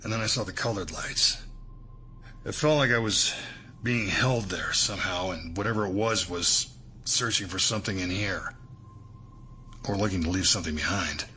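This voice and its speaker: An actor playing a shaken, gruff senior law-enforcement boss in an audio drama, terse and halting. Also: male voice, low voice, bright, forceful tone, slight background noise.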